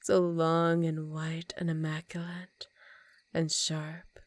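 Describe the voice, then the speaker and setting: Low, teasing vampire countess acted by a woman. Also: completely flat delivery, no echo. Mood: seductive, coaxing. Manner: slow, teasing, condescending, with breathy half-whispers. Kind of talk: ASMR roleplay.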